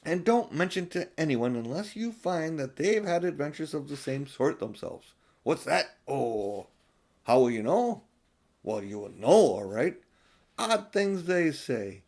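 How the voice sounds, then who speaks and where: A man's voice: fairly high pitch, very animated, measured pace, a little mellow, exaggerated voice. Man reading as a kindly, musing old professor. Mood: musing, wry. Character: kindly, remarkable old professor, wry and knowing. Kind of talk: storybook character voice.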